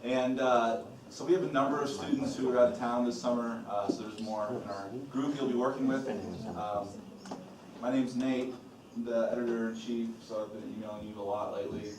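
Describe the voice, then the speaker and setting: A man from Illinois, a law review editor-in-chief, leading an orientation in a relaxed, loose way with lots of "uh" and "um". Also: mid-range voice, normal speed. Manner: relaxed, loose delivery with frequent "uh" and "um". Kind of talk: casual spoken orientation talk. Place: meeting room with a group of students. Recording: roomy echo, voice from a distance.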